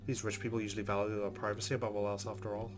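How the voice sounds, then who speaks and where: A man's voice: lowish voice, little pitch movement, very fast, music in the background. A man reading a visual novel's narration aloud as a let's-play host, with casual commentary.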